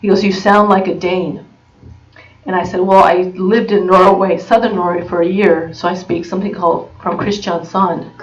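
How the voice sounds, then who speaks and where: A woman's voice: fairly low pitch, flat delivery. A woman from the United States giving an informal talk to an audience, full of fillers and restarts. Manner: halting, many fillers and restarts. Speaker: presenter. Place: conference room. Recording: dull recording from far off.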